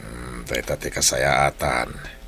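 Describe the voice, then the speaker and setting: Deep-voiced, fatherly Filipino. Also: steady tone, slow pace, gravelly, bright, forceful tone, a little hiss or hum, exaggerated voice. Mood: concerned, reassuring. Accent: Ilocano Filipino. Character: concerned, fatherly parent advising his grown daughter. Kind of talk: Ilocano radio drama acting.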